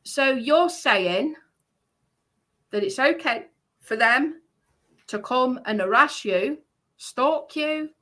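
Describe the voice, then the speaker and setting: A woman with a bright, forceful voice that sits fairly high. She talks casually but with indignant emphasis, laying out a grievance and throwing in rhetorical questions. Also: animated, takes it slowly, pauses often. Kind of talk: casual chat, recounting a grievance.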